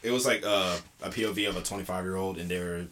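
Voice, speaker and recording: A man's voice: deep voice, a little hiss or hum. A man in his twenties co-hosting a casual podcast chat, telling stories animatedly and laughing easily. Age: 20s. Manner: animated storyteller, quick to laugh. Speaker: podcast co-host. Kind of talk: casual chat among friends.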